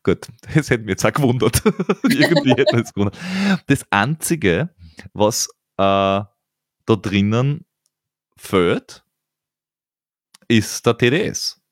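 A man's voice: mid-range voice, lively intonation, takes it slowly, long pauses, dry recording, studio quality. A man hosting a podcast, chatting casually with many stammered restarts and laughs. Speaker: podcast host. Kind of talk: casual interview chat.

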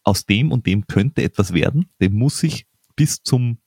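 An Austrian man with an Austrian accent, hosting a casual conversation, quick and emphatic, repeating words as he starts a thought. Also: medium pitch, expressive, darkish tone, breathy edge, dry recording, clean studio sound.

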